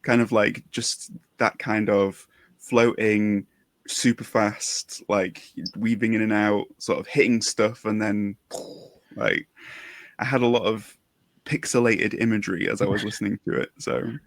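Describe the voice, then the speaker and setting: A man hosting a podcast in a relaxed, reflective and talkative way. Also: lowish voice, unhurried, no echo. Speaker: podcast host. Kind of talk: casual podcast conversation.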